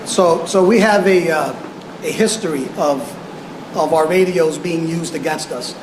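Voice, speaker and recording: Halting male official in an echoey room. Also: a little high. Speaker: police official giving press conference. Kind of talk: press conference answers. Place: indoor press conference room. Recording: echoey noisy press conference room.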